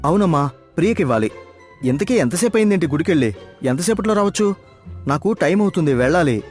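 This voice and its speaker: A man playing a harried grown son and husband in a moral story, hurried and pleading, with music behind. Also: on the high side, expressive, a little mellow, natural voice. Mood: hurried, wary. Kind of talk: acted moral story dialogue.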